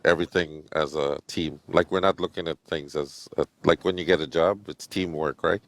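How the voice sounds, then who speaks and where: A man calling into a live-stream debate, answering haltingly with many pauses and fillers. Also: very deep voice, steady tone, no echo. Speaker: caller.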